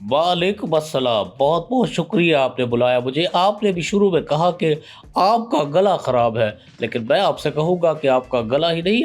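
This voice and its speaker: A man with a Pakistani Urdu accent playing a pompous, boastful veteran journalist guest in a comedy sketch, with deadpan boasts. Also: a little high. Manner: deadpan boasts, breaks into sung lines.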